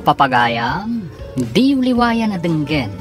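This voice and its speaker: A woman's voice: deep voice, sing-song, slow pace. A woman with an Ilocano accent announcing radio drama credits from a script. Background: prominent music.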